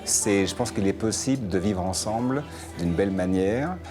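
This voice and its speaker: A man from France, a musician guest in a television interview, talking fast and restarting phrases with repeated words. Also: lowish voice, over music. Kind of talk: talk show interview.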